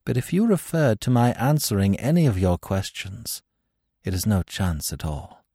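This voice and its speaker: Man voicing a husky, world-weary young woman crook. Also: fairly low pitch, very animated, dark tone, no room sound, studio quality, full character voice. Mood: resigned. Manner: slow, cool delivery. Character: cynical world-weary young blonde woman thief, unemotional then tearful.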